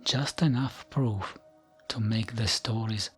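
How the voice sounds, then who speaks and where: A man narrating bedtime lore in ASMR style, with a soft, breathy near-whisper and a gentle, soothing, measured delivery. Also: fairly low pitch. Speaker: ASMR gaming narrator and channel host. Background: music.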